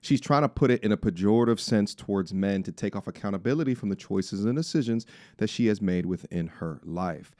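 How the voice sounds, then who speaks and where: A man in his forties with a low voice and a dry, close sound. He delivers opinionated commentary, preaching emphatically and throwing out rhetorical questions. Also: fairly quick, hardly any pauses, a little mellow, no room sound. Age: 40s. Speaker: commentator reacting to clips.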